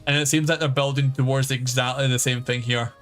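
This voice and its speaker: A man's voice: mid-range voice, level tone, brisk, fairly bright tone. A man chatting casually as a game streamer, rambling and swearing freely as he goes. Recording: music playing behind the voice. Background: background game music.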